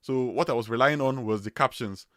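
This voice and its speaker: A man's voice: medium pitch, fairly quick, fairly bright tone, no echo. Nigerian-accented man hosting a dry livestream. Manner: chatty, loose, with many fillers and restarts. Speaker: livestream host. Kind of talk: casual livestream chat.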